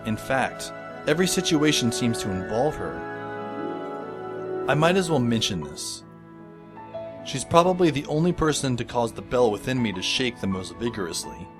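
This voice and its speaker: A man's voice: medium pitch, sing-song, normal speed, natural voice. Man playing a wry teenage boy narrator over music. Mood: wistful, wry. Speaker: narrator and lead character. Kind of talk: first-person audio drama narration. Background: music under the voice.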